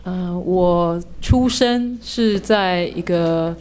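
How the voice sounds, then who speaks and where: Young woman from Taiwan, low voice, measured church testimony between interpreter turns. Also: darkish tone, a little hiss or hum. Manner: measured, pausing for translation. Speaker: theology student giving testimony.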